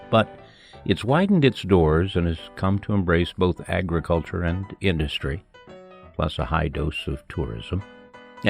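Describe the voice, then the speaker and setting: A man's voice: sits low, gravelly. An American man reading a folksy, scripted travelogue narration as a local guide.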